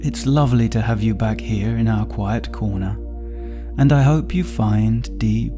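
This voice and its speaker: A man narrating a sleep story for adults as a storyteller, with a soothing, gentle, unhurried delivery. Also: on the low side. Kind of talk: slow bedtime story narration.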